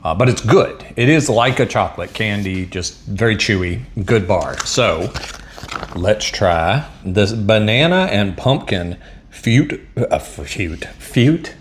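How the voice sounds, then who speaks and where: Man, Southern US accent, a bit low, chatty and rambling, faint clicks behind. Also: expressive, slow, slight background noise. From Southern United States. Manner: rambling, chatty, self-deprecating, frequent um and uh. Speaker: MRE taste-test vlogger. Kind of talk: casual food review to camera. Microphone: mic set up at a table.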